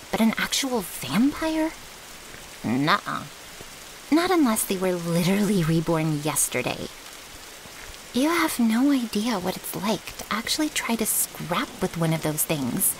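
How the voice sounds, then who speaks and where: A woman's voice: mid-range voice, a little hiss or hum, rough recording. A woman playing a brash, loyal young vampire's servant in an ASMR audio roleplay, with a cocky, blunt, casual way of talking.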